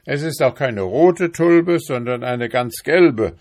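A man's voice: low voice, lively intonation, takes it slowly, darkish tone, heavily acted. Balduin, a man playing a weary beetle husband. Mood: exasperated. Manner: tired, curt answers. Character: weary, put-upon male beetle husband.

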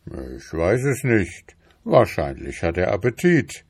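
A man's voice: low voice, sing-song, takes it slowly, a little mellow, husky, exaggerated voice. Storybook beetle husband, weary and curt, read by a man. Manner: tired, curt answers. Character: weary, put-upon male beetle husband.

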